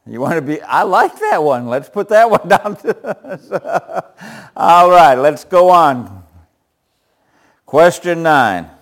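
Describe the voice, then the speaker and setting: A man with a loud voice leading a group Bible study, often chuckling and putting questions to the group. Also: on the high side, sing-song, slow.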